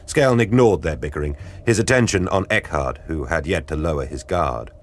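A man narrating an audio drama in a grave, measured storytelling style. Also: rumbling low, animated. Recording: studio narration over music. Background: dramatic music.

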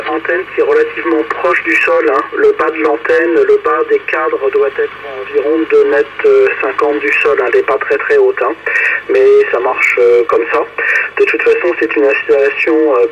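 A man chatting casually as an amateur radio operator over a two-way radio, hesitating as he searches for words. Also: fairly high pitch. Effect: two-way radio transmission. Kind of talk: casual radio contact chat, passing turns. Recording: dull radio signal with clear static noise.

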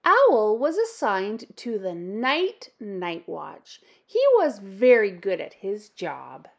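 Slow, expressive woman reading to children. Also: medium pitch, vocal fry. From Canada. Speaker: children's story-time host and reader. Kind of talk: picture-book read-aloud.

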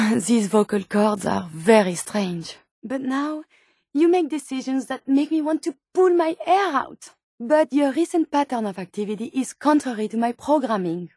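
An earnest, curious robot scientist from a video game, with a distinct French accent. Her voice is high and very dry, close and without room sound. She phrases everything formally and courteously, choosing precise words. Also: a little mellow, no echo. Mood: bewildered, then hurt, reproachful. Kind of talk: video game character dialogue.

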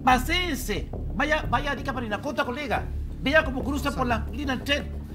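A man's voice: very high-pitched, moderate pace. An older man with a Chilean accent, arguing emphatically and insistently in a TV panel debate. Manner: emphatic, insistent, repeats key phrases. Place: TV studio panel desk. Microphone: lapel mic. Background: music under the talk.

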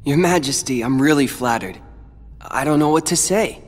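An actor playing a brooding, determined teenage boy warrior in a video game, with a breathy, husky voice. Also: medium pitch, moderate pace, lightly acted. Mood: humble, warm. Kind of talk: video game cutscene acting.

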